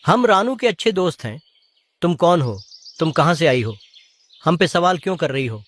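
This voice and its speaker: A man's voice: on the high side, big pitch swings, moderate pace. A man voicing a concerned, loyal male parrot in a cartoon, speaking earnestly. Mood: challenging. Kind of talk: animated story dialogue.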